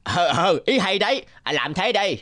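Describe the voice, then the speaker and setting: A woman's voice: on the low side, sing-song, normal speed, noisy background. A woman voicing a fretful, self-serving adult villager in a cartoon. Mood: approving.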